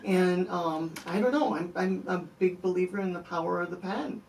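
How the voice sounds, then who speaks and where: A woman hosts a radio interview, warm and supportive, reacting quickly to her guest. Her voice sits on the low side, a little distant from the mic, with faint hiss behind. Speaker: radio host. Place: radio studio.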